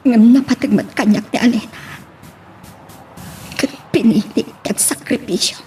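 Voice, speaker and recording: A woman's voice: a little high, measured pace, dark tone, faint music behind, lightly acted. A woman acting a hurt, self-sacrificing young woman in an Ilocano romance radio drama. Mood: hurt, sorrowful.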